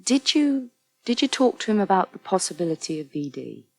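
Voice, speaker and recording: Reassuring female counsellor with a slightly husky voice. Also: medium pitch, sing-song, fairly quick, darkish tone. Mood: frank, informative. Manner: reassuring, conversational. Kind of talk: naturalistic radio soap acting.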